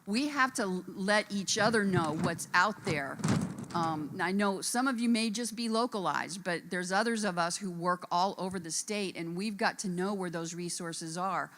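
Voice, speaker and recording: A woman's voice: fairly low pitch, moderate pace, a bit bright, a little hiss or hum. A woman on a conference panel who works helping incarcerated women, speaking earnestly and addressing the audience directly in a conference room. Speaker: conference panelist, reentry support worker.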